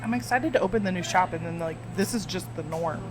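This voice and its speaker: A woman's voice: on the low side, quick pace, noisy background. A woman co-hosting a podcast, giggly and playful in casual chat. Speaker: podcast co-host.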